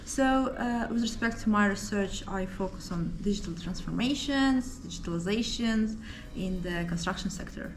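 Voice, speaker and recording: A woman's voice: mid-range voice, moderate pace, few pauses, rough recording. A woman, chatting casually as a guest on a panel. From Kazakhstan. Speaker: interview guest, PhD student. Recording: music behind the voice. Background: music.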